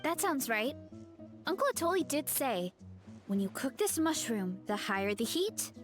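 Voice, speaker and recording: A woman's voice: sits high, sing-song, normal speed. An actress playing an earnest, sensible young tribeswoman who talks a little hesitantly, over game music.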